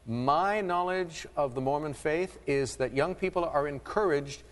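A man's voice: on the high side, measured pace, big-room sound. A man hosting a quiz show, reading clues and teasing contestants with playful asides. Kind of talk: game show hosting with banter.

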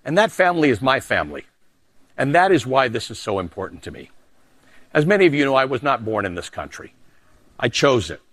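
A middle-aged man from Canada, a news anchor delivering emphatic political commentary and a personal essay, dropping low for personal asides. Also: sing-song, a little fast, long pauses, fairly bright tone.

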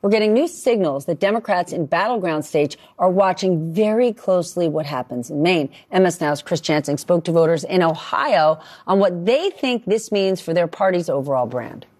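A woman's voice: lowish voice, animated, moderate pace, few pauses, darkish tone. A woman anchoring a cable news panel, introducing guests and bantering with them.

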